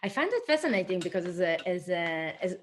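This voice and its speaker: A woman's voice: on the low side, big pitch swings, a little fast, a little hiss or hum. A woman from Israel with an Israeli accent, interviewing a guest in an enthusiastic, curious way. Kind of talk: conversational interview.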